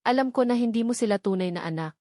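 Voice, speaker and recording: Icy, sharp female aide, voiced by a woman narrator. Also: fairly high pitch, no room sound, studio quality, natural voice. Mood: cold, menacing. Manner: icy, cutting, deliberate. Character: cold, sharp, emotionless female right-hand aide.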